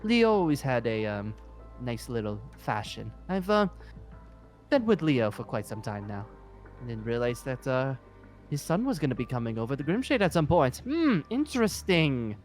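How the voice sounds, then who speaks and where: A man's voice: mid-range voice, sing-song, normal speed, faint music behind, close to an everyday voice. A man playing a polite, flustered, centuries-old dragon slayer guild leader in an improvised fantasy roleplay, hesitant and apologetic. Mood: curious.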